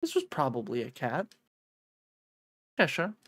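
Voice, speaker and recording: Game streamer, animated mid-pitched voice, dramatic narration and sweary asides, very dry sound. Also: measured pace, slightly rough, no room sound. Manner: expressive, excitable, sweary. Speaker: streamer playing and reading a visual novel aloud. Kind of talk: dramatic read-aloud with casual profane reactions.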